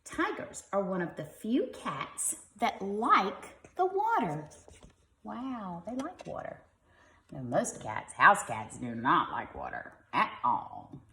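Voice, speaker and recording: A woman's voice: medium pitch, huge pitch swings, takes it slowly, sharp tone, reverberant. A woman reading a picture book aloud to young children like a teacher, expressively and with simple explanatory asides.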